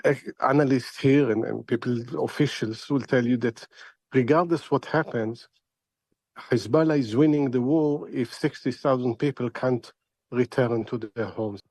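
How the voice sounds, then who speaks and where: A man speaking as a news correspondent, giving unscripted commentary in a halting, hesitant way. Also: medium pitch, a little mellow, no room sound, poor audio. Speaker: reporter or correspondent.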